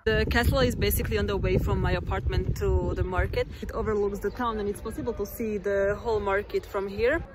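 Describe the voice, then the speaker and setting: A young woman from Slovakia, a native Slovak speaker, calmly explaining sights in a travel vlog, filmed on a phone at arm's length. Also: mid-range voice, brisk, few pauses, background noise. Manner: calm, explanatory, turns soft and reflective. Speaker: vlogger. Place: apartment rooms and outdoor town overlook. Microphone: phone camera at arm's length.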